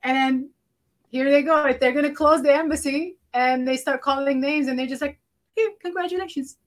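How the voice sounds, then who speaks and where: A woman's voice: high-pitched, a little fast. A woman from Lebanon hosting a casual interview, giving frequent short affirmations and light laughs as she talks. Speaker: podcast host.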